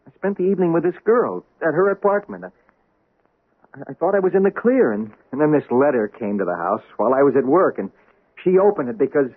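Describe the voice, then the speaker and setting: An actor playing a nervous, stammering, unfaithful husband in a 1970s radio drama. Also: male voice, on the high side, big pitch swings, brisk, breathy edge, heavily acted. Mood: pleading. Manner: stammering, evasive. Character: nervous, stammering cheating husband, middle-aged man. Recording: tinny lo-fi vintage radio recording.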